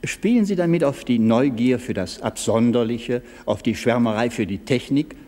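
A man's voice: medium pitch, moderate pace, warm tone, natural voice. A man playing a persistent, formal interviewer in a staged radio interview, probing and puzzled, recorded in a reverberant hall.

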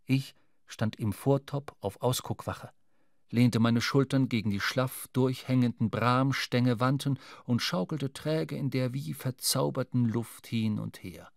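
Guy narrating a sea novel style radio drama, middle pitch, super dry studio sound, measured and dramatic. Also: vocal fry, no room sound. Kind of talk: scripted literary narration in a radio play.

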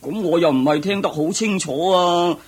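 A man's voice: high-pitched, moderate pace, slightly rough. A man acting a timid, deferential royal charioteer in a radio drama, hesitant and stammering. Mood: earnest, explaining.

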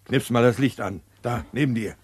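An actor playing a gruff, level-headed neighbour giving witness in a German crime radio play, blunt and deliberate. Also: male voice, medium pitch, slight background noise, close to an everyday voice. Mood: gruff, urgent.

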